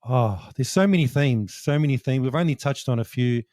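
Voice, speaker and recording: A man's voice: medium pitch, lively intonation, brisk, a little mellow, no room sound, clean studio sound. A man co-hosting a podcast interview, joking and rambling with fillers as he wraps up. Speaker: podcast co-host. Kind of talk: casual interview chat.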